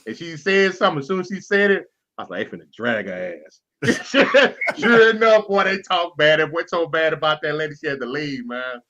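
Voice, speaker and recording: Texan male host, slangy laughing storyteller, bright edge, muffled lo-fi audio. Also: a little high, very animated, normal speed. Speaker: show host. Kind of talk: casual sports chat.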